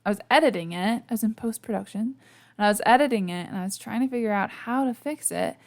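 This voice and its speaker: A young woman with a gravelly, fraying voice chats on her podcast mic, sleepy and hungover. She rambles warmly with plenty of ums and quick laughs, poking fun at herself. Her pitch sits in an ordinary middle range. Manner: chatty, self-deprecating, laughs often, lots of fillers. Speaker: podcast host. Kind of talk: casual solo podcast chat. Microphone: podcast microphone.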